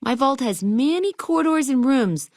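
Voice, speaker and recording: A woman's voice: high-pitched, animated, normal speed, a little mellow, no room sound, studio quality. A woman playing a perky, eager and quirky hotel keeper in a video game, chatty and full of chirpy salesmanship and playful old-fashioned slang. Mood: proud, guiding. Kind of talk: video game dialogue lines.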